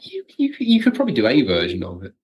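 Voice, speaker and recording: A man's voice: medium pitch, wildly swooping pitch, fast talker, a little mellow, breathy edge. A British man chatting as an interview guest and writer, with a wry, jokey way of acting out remarks. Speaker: guest, audio drama writer. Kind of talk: casual conversational interview.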